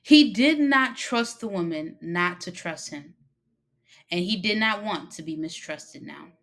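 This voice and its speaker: A woman narrating a short story expressively, with dramatic pauses and emphasis. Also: fairly low pitch, lively intonation, a bit bright. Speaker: story reader.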